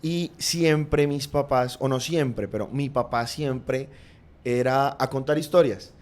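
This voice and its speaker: A man with a Colombian accent, a podcast guest, reflectively recounting his family's past in an earnest, unhurried way. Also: medium pitch. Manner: earnest, reflective, frequent "digamos" and "pues" fillers. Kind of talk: personal storytelling in conversation.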